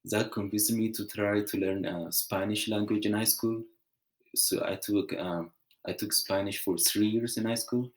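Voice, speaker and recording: A young man from Ethiopia, giving an earnest, measured prepared presentation with small restarts. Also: fairly low pitch, steady tone.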